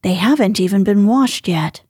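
A woman's voice: medium pitch, expressive, normal speed, darkish tone, breathy edge, no room sound, clean studio sound. Woman reading as a weary, scolding housekeeper. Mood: exasperated. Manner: exasperated, fussing.

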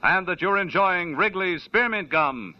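A man's voice: sits high, lively intonation, unhurried. A man working as a radio announcer, reading ads and credits in a polished, upbeat style. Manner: polished, upbeat, sing-song delivery. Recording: dull old radio broadcast.

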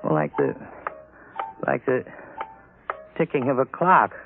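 Earnest, folksy husband, old radio. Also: male voice, mid-range voice, sing-song, slow pace, creaky, background noise, exaggerated voice. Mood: dawning horror. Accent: American. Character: rattled, folksy husband who dotes on his wife. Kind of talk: 1950s radio acting. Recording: narrow-band vintage radio recording.

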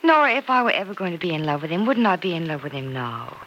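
A woman's voice: fairly low pitch, very animated, quick pace, fairly bright tone. An actress playing an earnest, affectionate young single woman who is careful about love, in old-time radio soap style. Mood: firm, slightly exasperated. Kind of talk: 1940s-50s radio soap acting. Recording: dull, noisy old radio transcription.

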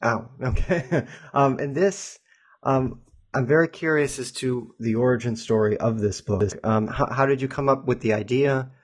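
A man's voice: medium pitch, moderate pace. A man hosting a book interview podcast, asking questions with many hesitations and fillers. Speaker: podcast host.

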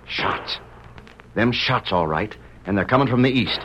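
A blunt, wary lawman of a radio western. His folksy Western drawl swings widely in pitch as he gives gruff orders. The old recording is muffled and noisy. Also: lively intonation, a little slow. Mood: gruff, urgent. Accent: American Western drawl.

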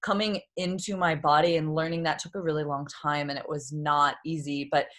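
Low-voiced woman in her thirties. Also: little pitch movement, fairly quick, a bit bright. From Boston. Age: 30s. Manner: reflective, open, laughs often. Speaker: guest, yoga therapist. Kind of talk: casual interview talk.

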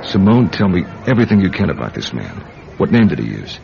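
Deep, gravelly, wry radio agent. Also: male voice, big pitch swings, fast talker, music in the background, full character voice. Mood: probing. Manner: probing, dry, wry. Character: brisk, wry male intelligence agent. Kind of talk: old-time radio drama acting. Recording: muffled, noisy old radio recording.

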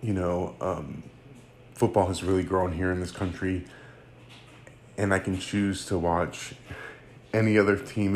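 A man's voice: low-pitched, a little mellow, slight background noise. A man, hosting a casual solo sports podcast and rambling with fillers and self-corrections. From United States. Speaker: podcast host.

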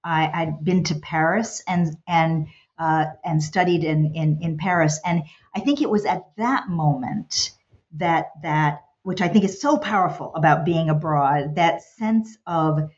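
Woman, middle-aged, Hungarian first language, very low voice, thoughtful and halting, muffled lo-fi sound. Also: darkish tone. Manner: thoughtful, many restarts and fillers. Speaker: guest, college president. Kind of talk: reflective interview answers. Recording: dull, lo-fi recording.

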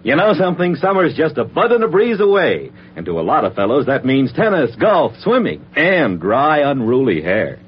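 Animated old-time radio ad man, muffled sound. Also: medium pitch, normal speed, hardly any pauses, over music. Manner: chatty, direct salesman's address. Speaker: commercial announcer. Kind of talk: 1940s radio advertising pitch.

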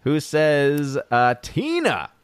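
A man's voice: fairly high pitch, huge pitch swings, very slow, clean tone, no echo. A man hosting a casual livestream, greeting viewers in the chat by name with playful hails. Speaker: livestream host.

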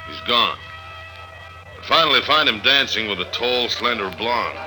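A man acts out a hard-boiled investigator in an old radio drama. He tells his story in short, blunt sentences at an average male pitch. Music runs through the old broadcast recording. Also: animated, moderate pace, close to an everyday voice, rough recording. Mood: terse narration. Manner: clipped, terse sentences. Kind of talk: 1950s radio drama acting and first-person narration.

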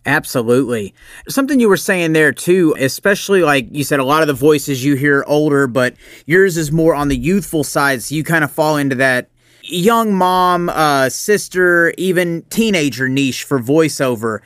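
A man's voice: a little high, animated, normal speed, a bit bright, dry recording, clean studio sound. A man hosting an interview, asking long rambling questions and backing up his guest. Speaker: podcast host. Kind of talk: casual interview.

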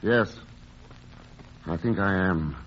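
An actor playing an earnest romantic painter in a 1940s radio drama. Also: male voice, low voice, slow. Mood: subdued. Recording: muffled old radio recording, music.

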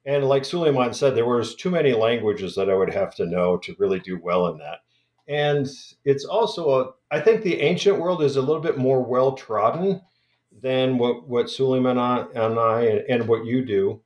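A man's voice: medium pitch, darkish tone, echoey room, muffled recording. A man talking as a guest scholar in an interview, hesitant and full of restarts and fillers. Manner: halting, many restarts and fillers.

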